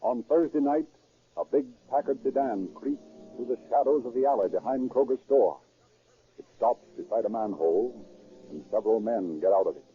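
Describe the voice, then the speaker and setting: A man's voice: medium pitch, animated, moderate pace, soft background music. A man narrating a crime drama in dramatic, scripted 1930s radio style. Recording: tinny, narrow 1930s radio recording.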